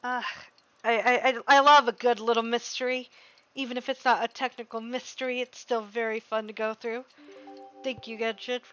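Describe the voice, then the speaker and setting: A woman's voice: on the high side, flat delivery, normal speed, a bit bright. A woman streaming a video game with casual, reactive commentary, often muttering to herself. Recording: dull audio, faint game music.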